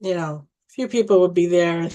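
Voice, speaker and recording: A woman in her sixties, originally from Egypt, telling her life story in a casual, reminiscing way, pausing and restarting often and laughing softly now and then. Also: fairly low pitch, highs cut off. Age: 60s. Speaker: family storyteller.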